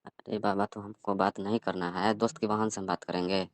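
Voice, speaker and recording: A man's voice: fairly low pitch, level tone, a little fast, dry recording. A young man speaking in a reserved, terse way in a casual phone conversation.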